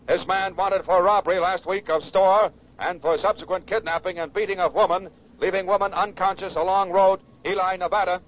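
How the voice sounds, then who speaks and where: A man's voice: high voice, steady tone, a little fast, few pauses. A man reading a police bulletin in 1940s radio style, clipped and telegraphic. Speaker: crime bulletin announcer. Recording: noisy, distant, muffled vintage radio.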